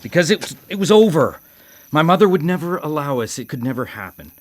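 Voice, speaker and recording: An actor in a radio drama playing a guilt-ridden male killer, halting as he confesses and menacing in manner. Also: a little high, big pitch swings. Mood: agitated, angry. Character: brooding guilt-ridden male serial killer, haunted by his mother. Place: live radio drama broadcast.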